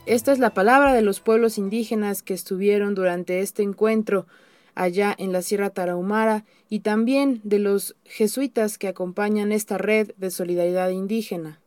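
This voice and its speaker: A woman's voice: mid-range voice, a little mellow, no room sound. A woman narrating a scripted radio news report as its reporter.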